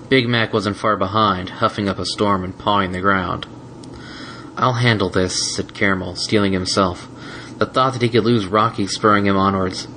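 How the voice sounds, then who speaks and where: Male storybook narrator, low-pitched and steady. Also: a little hiss or hum, poor audio. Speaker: audiobook reader.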